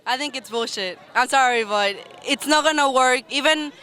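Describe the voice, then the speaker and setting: Frank, profane protester with a smooth voice. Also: sits high, moderate pace, bright, forceful tone. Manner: frank, profane, apologetic asides. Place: outdoors at a street protest.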